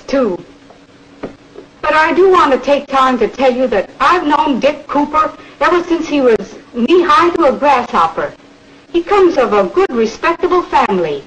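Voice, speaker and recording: An actress playing a folksy, chatty small-town housewife giving a homespun testimonial in a 1940s campaign film. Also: female voice, high-pitched, a bit bright. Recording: noisy old 1940s film soundtrack.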